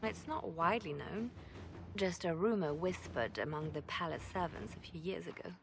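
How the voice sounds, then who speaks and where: An actress playing a composed, secretive spymaster in a fantasy game, measured and calculating in manner. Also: female voice, fairly low pitch, expressive, creaky, music in the background. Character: composed, secretive female spymaster advising a commander. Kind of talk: dramatic game cutscene dialogue.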